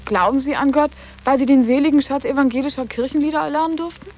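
A woman's voice: high voice, fast, a little mellow, soft background music, close to an everyday voice. An actress playing a sarcastic, challenging teenage schoolgirl in a literary radio drama, matter-of-fact and pointed.

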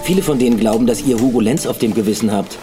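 An actor playing a brusque, sardonic Berlin detective in a German radio play, dry and commanding. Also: male voice, medium pitch, quick pace, over music, lightly acted. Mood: needling authority.

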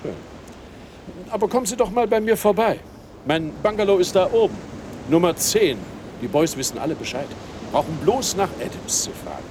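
An actor playing a chatty, forward, plump American hotel guest in a radio play. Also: male voice, high-pitched, lively intonation, moderate pace, noisy background. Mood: friendly, chatty.

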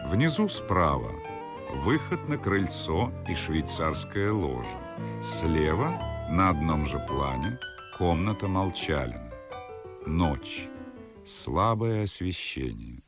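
A man narrating stage directions for a radio play in a measured, scripted way, setting the scene. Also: a bass voice, very animated, exaggerated voice. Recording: loud music behind the voice. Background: music.

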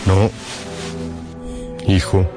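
A man's voice: sits low, level tone, drawn-out words, music in the background. An actor playing a grave, caring, anxious father in a radio drama. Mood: grave, concerned.

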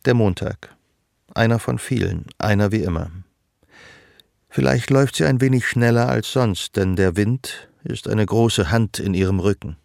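A man acting as a detached, observant storyteller, narrating literary radio drama in a measured way. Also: lowish voice, creaky, darkish tone, no echo, clean studio sound. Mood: wistful. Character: detached, observant male storyteller of a woman's life.

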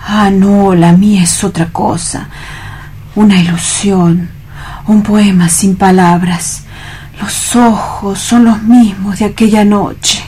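A woman playing a timid, dreamy male clerk in a radio theatre reading, hesitant and anxious, with a husky, breathy voice and an accent from Spain. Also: medium pitch, fairly even intonation, slow pace, dark tone, slight background noise, lightly acted. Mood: wistful awe. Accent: Spanish (Spain). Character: timid, dreamy male bank clerk afraid of real love.

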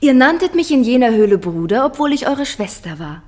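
An earnest young princess. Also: female voice, sits high, a little fast. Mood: tender, earnest. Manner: earnest, expressive. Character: tender, spirited young princess, loyal wife and sister. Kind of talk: theatrical classical radio drama.

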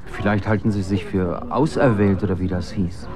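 Ein Schauspieler spielt in einem deutschen Hörspiel naturalistisch einen skeptischen Mann, der fragend und zögernd nachhakt. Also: male voice, low voice, steady tone, moderate pace, crowd noise, natural voice. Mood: pondering. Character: sceptical, probing male friend piecing together a mystery. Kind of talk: naturalistic German radio drama acting. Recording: car and street noise behind.

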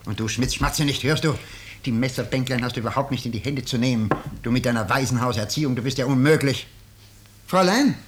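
An actor playing a sly, mock-polite former waiter and jailbird in a 1950s German radio drama. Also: male voice, medium pitch, fast, close to an everyday voice. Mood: sly, chiding. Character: smooth, insinuating ex-waiter and jailbird houseguest. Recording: slightly noisy 1950s radio recording.

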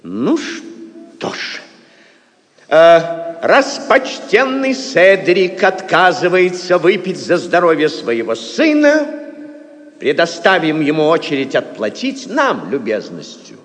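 Haughty high-voiced prince. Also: male voice, huge pitch swings, slow pace, a bit bright, exaggerated voice. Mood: mock surprise. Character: haughty, theatrical medieval prince, sneering and suspicious. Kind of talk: Russian audio play acting. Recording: long hall reverb.

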